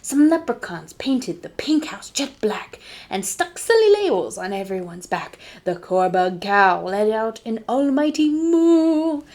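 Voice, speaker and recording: A woman reading a storybook aloud to children as a librarian, dramatic and dropping to hushed tones for suspense. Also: fairly high pitch, very animated, measured pace, few pauses.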